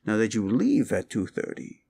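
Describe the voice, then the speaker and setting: A man playing a rumpled, folksy police detective in a roleplay audio drama, musing and halting as he politely needles a suspect with feigned casualness. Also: fairly low pitch, wildly swooping pitch, gravelly, warm tone, full character voice. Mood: casually probing. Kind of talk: roleplay audio drama, interrogation monologue addressed to listener.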